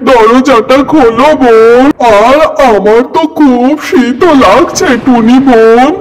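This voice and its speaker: A voice actor playing a lazy, scheming male crow in a children's cartoon, with a drawn-out, wheedling manner. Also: mid-range voice, lightly acted, rough recording. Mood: pleading.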